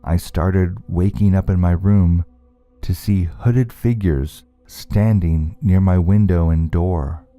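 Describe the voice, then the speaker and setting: A man narrating a scary story from a script in a measured, deliberate storytelling manner. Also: deep voice, dark tone.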